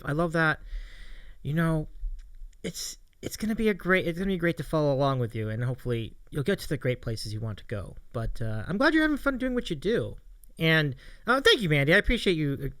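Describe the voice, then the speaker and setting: A man's voice: fairly high pitch, animated, brisk, a bit bright, no echo. A man hosting a livestream interview show, chatting casually in an appreciative, encouraging way. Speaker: host of a streaming interview show.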